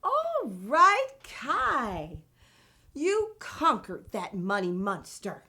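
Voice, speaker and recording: Female children's storybook narrator. Slow, expressive delivery with a highly varied pitch, over a music bed. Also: husky. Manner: animated, deliberate, addressing young listeners. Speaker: children's read-aloud show host and narrator.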